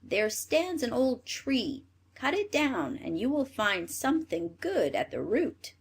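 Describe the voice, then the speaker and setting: A little gray old man of a fairy tale voiced by a woman storyteller, kindly and polite. The words are measured, with an animated rise and fall in pitch. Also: breathy edge, full character voice. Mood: kindly, granting.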